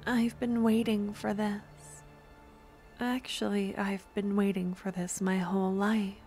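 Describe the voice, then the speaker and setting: A woman voicing an obsessive, lovesick young necromancer stalker in an intimate ASMR roleplay, with a breathy, adoring, possessive murmur that often slips into whispers. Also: medium pitch, flat delivery, darkish tone, faint music behind. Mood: tender, obsessive. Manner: adoring, possessive, halting dramatic delivery.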